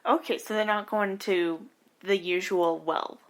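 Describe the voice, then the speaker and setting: A woman's voice: medium pitch, steady tone, measured pace. A woman co-hosting a podcast, reacting in casual chat with enthusiastic exclamations. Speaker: podcast co-host.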